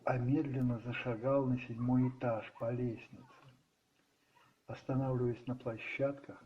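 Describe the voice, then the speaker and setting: A man narrating a radio play as a storyteller, expressive and reflective, with pauses and musing asides. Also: mid-range voice. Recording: slightly muffled recording with music underneath.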